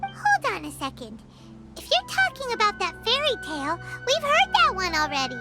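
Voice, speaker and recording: A woman voicing a tiny, chirpy, excitable floating fairy companion in a video game, who talks about herself in the third person. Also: very high voice, normal speed, full character voice. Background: loud game music.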